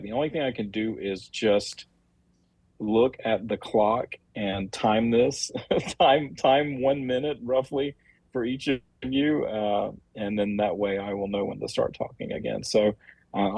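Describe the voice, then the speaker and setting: A man hosting a livestream, talking off the cuff and reading out viewer comments in a halting, apologetic way. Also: lowish voice, vocal fry, a little mellow, breathy edge, no echo, poor audio. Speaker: livestream host, comic creator.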